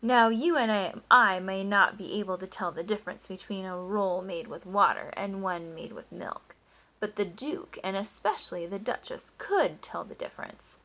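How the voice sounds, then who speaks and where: A woman's voice: medium pitch, fairly bright tone, poor audio. Teacher reading a story to her class. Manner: pauses to explain and ask the children questions. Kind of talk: reading a picture book aloud to a class.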